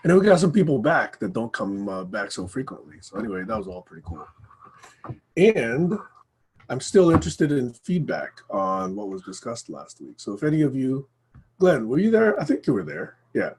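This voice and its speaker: A hoarse man hosting a casual group video call, speaking low and clearing his throat often. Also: sing-song, moderate pace, a little mellow. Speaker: discussion group host. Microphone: computer microphone on a video call.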